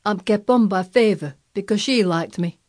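Woman putting on a gruff old Yorkshire gardener. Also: medium pitch, moderate pace, darkish tone, pitched above the performer's own voice, lightly acted. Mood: plain, gruff. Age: older. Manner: dry, gruff, grumpy. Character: crabbed, tender, shrewd old Yorkshire male gardener.

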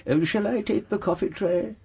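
An actor playing a discreet, obliging butler, hesitant and formally polite. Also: male voice, sits high, moderate pace, vocal fry, warm tone, husky, full character voice. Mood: deferential.

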